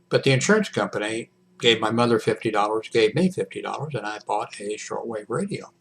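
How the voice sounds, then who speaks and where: An older American man with a fairly low voice and a heavy creak in it. He talks off the cuff as a hobbyist video blogger, halting and restarting, often leaving a sentence unfinished as he drifts into the next thought. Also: fairly bright tone, slight background noise. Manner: digressive, halting, often trailing off mid-sentence. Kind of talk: casual rambling monologue.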